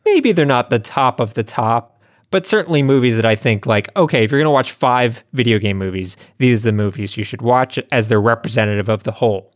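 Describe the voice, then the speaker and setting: A man's voice: mid-range voice, a little fast, dry recording, clean studio sound. A man hosting a podcast in a casual, conversational way with informal asides. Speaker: podcast host.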